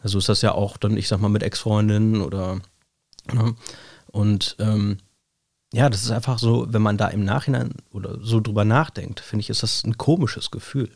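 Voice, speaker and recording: A man, hoarse with a cold, musing in a relaxed chat and padding his talk with fillers and tag questions. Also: lowish voice, moderate pace, no echo. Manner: thoughtful, rambling, many fillers and tag questions. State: hoarse with a cold, scratchy throat. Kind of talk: reflective casual chat.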